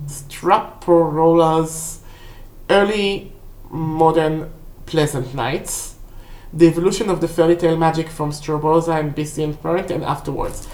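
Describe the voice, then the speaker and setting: A woman, a native Hebrew speaker, casually rambling through a book review for a vlog in a halting, self-correcting way. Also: low voice, steady tone, darkish tone. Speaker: book haul vlog host. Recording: roomy, echoey indoor sound.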